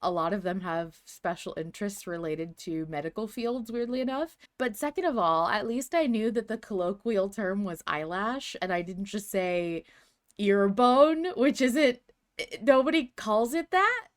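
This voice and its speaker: A woman's voice: medium pitch, measured pace. A woman co-hosting a podcast, chatting casually in a dry, teasing way. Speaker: podcast co-host. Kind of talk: casual chat.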